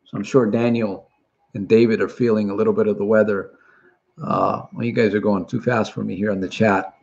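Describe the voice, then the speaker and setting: A man's voice: lowish voice, flat delivery, brisk, gravelly, a little mellow, lo-fi sound. A middle-aged man from Puerto Rico, raised in Connecticut, hosting a motivational live stream and reading from the chat with earnest, repetitive emphasis. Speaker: livestream host and recovery coach.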